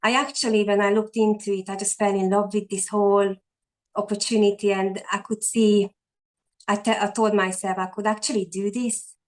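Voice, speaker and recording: A woman's voice: mid-range voice, little pitch movement, normal speed. A woman in her forties from Hungary with a Hungarian accent, a guest on a video call telling her story earnestly, with many fillers and restarts. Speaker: guest, online entrepreneur. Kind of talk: casual interview chat.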